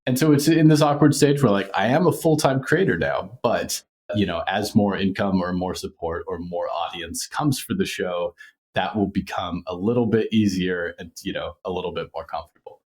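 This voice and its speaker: A man chatting casually as an interview guest about his creative work, in an informal, reflective, halting manner. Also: sits low, darkish tone.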